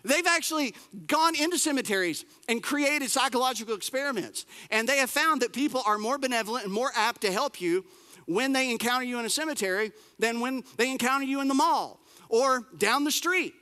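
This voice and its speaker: A man, preaching a sermon as pastor, lively and emphatic with repeated phrases and casual asides. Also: very high-pitched, brisk, big-room sound. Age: 40s.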